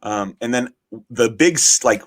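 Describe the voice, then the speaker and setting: A man, a podcast guest who is also a podcast host, talking in rambling, enthusiastic casual chat, his voice raspy from shouting. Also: mid-range voice, a little slow, dry recording. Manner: rambling, enthusiastic, frequent swearing.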